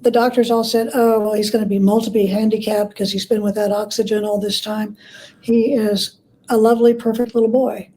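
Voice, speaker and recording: A woman interviewed as an expert guest, explaining things conversationally in a measured way with pauses. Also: mid-range voice, level tone, warm tone, low-quality recording. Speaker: interview guest, author and scholar.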